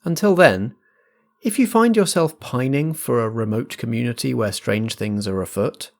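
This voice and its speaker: A man's voice: mid-range voice, moderate pace. A man hosting as compere and game master, making announcements and setting scenes with theatrical phrasing. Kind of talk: scripted announcements and scene narration.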